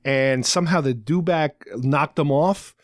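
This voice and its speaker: Higher-pitched man, casual chatty podcast host. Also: a little slow, no echo, studio quality. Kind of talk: casual fan discussion, retelling a story.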